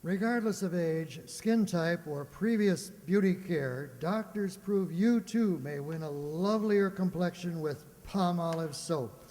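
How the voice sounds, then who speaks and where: A man's voice: high voice, a little slow, a little mellow. A man playing an emphatic, salesmanlike announcer reading a 1940s-style radio commercial. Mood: persuasive. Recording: hall-like, long reverb.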